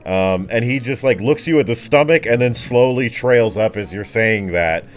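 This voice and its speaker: A man's voice: medium pitch, normal speed. A man narrating a tabletop fantasy game as game master, improvising descriptions casually with slangy asides. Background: background music.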